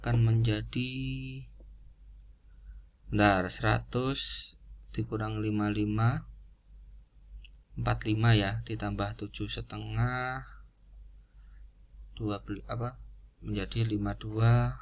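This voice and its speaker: A man's voice: lowish voice, level tone, slow, long pauses. A man giving an unscripted lesson, explaining step by step in a didactic way and repeating key figures. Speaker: accounting lecturer.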